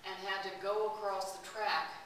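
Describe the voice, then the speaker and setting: A woman's voice: mid-range voice, steady tone, normal speed, fairly bright tone. Woman telling stories in an echoey hall. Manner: storytelling, chatty, laughs often. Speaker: speaker giving a talk. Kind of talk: personal travel talk to an audience. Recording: distant, roomy, hissy recording.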